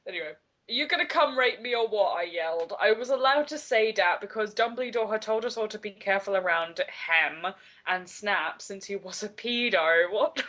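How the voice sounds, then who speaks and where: A woman's voice: medium pitch, normal speed, fairly bright tone. A young woman reading aloud in a mocking group game, giggly and openly incredulous as she banters. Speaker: player in a comedic dramatic reading game.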